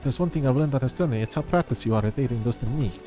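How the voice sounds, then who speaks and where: A male game streamer with a mid-pitched voice and a quick pace. He reads dialogue and chats casually, tripping on a word now and then, with faint music underneath.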